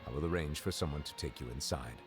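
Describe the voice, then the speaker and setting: Low-voiced, solemn, courteous judge. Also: male voice. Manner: formal, measured, composed. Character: solemn, courteous, dignified male high judge. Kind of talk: scripted video game dialogue. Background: game music.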